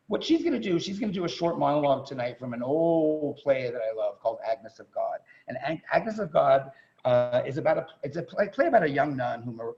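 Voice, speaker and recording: A man speaking as a theatre director and teacher, giving an informal, effusive and halting introduction to a performer over a video call. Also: medium pitch, darkish tone. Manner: effusive, halting with repeated words. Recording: dull, lo-fi video call audio.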